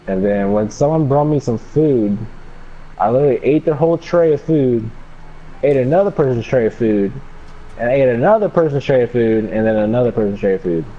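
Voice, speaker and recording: A man's voice: on the low side, dark tone. A young man telling a personal story casually in a laid-back, slangy way with some swearing. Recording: dull lo-fi mic with background noise.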